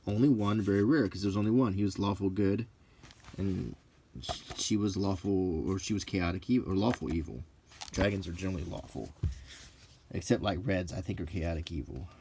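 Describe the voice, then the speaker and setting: A middle-aged man rambling casually in a halting, unhurried way as he browses a book, with dry asides. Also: low voice, darkish tone, a little hiss or hum. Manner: unhurried, halting, dryly joking. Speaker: hobbyist video presenter.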